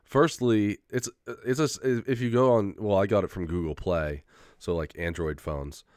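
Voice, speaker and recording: Low-voiced man rambling casually, getting over a cold. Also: fairly even intonation, unhurried, darkish tone, dry recording, studio quality. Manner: rambling, many fillers and restarts, casual swearing. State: recovering from a head cold, occasional cough. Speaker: vlogger.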